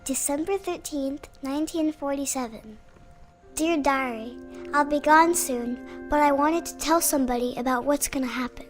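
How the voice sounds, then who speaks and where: A woman's voice: high voice, moderate pace, faint music behind, close to an everyday voice. A woman playing an earnest, hungry little girl narrating her diary in a story game. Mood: earnest, matter-of-fact.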